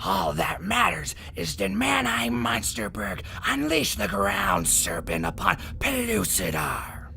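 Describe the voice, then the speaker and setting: An actor voicing an imperious, pompous Mole King judging an underground court in a gravelly, slow, declamatory radio-drama voice. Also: medium pitch, very animated, pushed and bright, a little hiss or hum, full character voice. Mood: stern, probing. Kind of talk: radio adventure drama acting.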